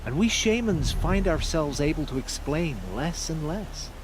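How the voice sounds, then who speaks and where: Slow, contemplative shaman. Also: fairly high pitch, expressive. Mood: serene, reflective. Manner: measured, philosophical. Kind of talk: video game voice acting. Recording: loud ambient noise behind voice.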